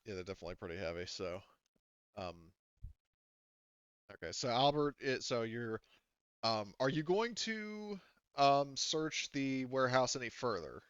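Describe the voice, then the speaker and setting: A man acting as game master, casually narrating a tabletop roleplaying game in a halting way as he thinks aloud. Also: mid-range voice, animated, a bit bright, clear, resonant tone, dry recording, highs cut off.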